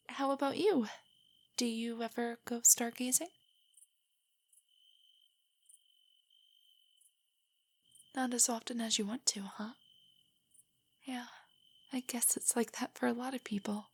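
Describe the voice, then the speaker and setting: A woman playing a nervous, nerdy, affectionate young girlfriend in an intimate audio roleplay, speaking softly and breathily in a hesitant, earnest way. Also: on the high side. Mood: shy, playful. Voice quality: soft, breathy, whispery. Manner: hesitant, rambling, earnestly explanatory.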